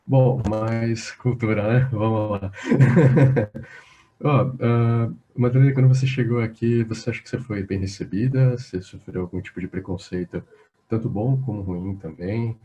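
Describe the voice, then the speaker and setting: Mellow low-voiced Brazilian host. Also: male voice, lo-fi sound. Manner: jokey, teasing, quick to laugh. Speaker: interview host. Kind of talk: casual interview chat.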